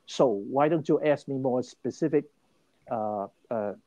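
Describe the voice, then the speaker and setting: A man's voice: medium pitch, measured pace, no room sound, highs cut off. An older man from Hong Kong tells his life story as an interview guest. He speaks with emphasis and often restarts his phrases. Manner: animated and emphatic, many restarts.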